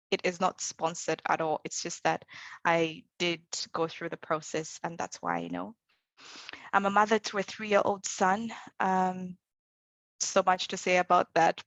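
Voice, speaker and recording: A woman in her thirties from Zambia speaks in a relaxed panel talk, pausing often with fillers as she thinks. Her voice sits a little low and stays fairly flat. The sound is dry and close, with no room around it. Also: no room sound. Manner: relaxed, many fillers, thoughtful. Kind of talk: conversational talk.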